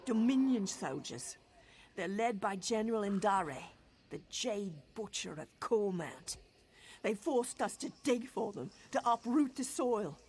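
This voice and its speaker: A woman's voice: medium pitch, expressive, measured pace, darkish tone, breathy edge. A woman playing a frightened, earnest pilgrim villager in a fantasy game, with an anxious, pleading manner. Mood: worried, anxious. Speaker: quest-giving game character. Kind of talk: scripted fantasy game dialogue.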